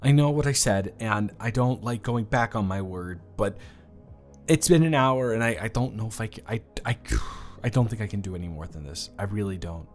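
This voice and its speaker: A young man playing a new classmate in a romance audio drama, speaking to the listener in a halting, flustered way, overwhelmed and stumbling over his words. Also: lowish voice, faint music behind. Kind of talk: one-sided audio roleplay to the listener.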